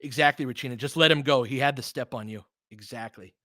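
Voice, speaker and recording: A man's voice: mid-range voice, quick pace, bright, forceful tone, no room sound, studio quality. A man doing casual live basketball play-by-play and analysis, chatting informally with listeners and urging the players on like a fan. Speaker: host of a basketball film-room audio stream.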